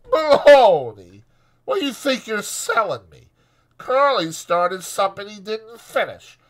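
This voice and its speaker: Man putting on a brassy high-pitched scornful young woman. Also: very animated, slow, heavily acted. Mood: scornful, prying. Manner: contemptuous, brassy, slangy rural American.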